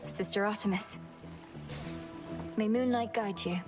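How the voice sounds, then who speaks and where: A woman's voice: mid-range voice, fairly even intonation, measured pace, close to an everyday voice. Woman as an earnest young witch over music. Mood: respectful, warm. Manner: formal, earnest. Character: earnest young witch princess, dutiful and determined. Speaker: actor voicing a game character. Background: game music.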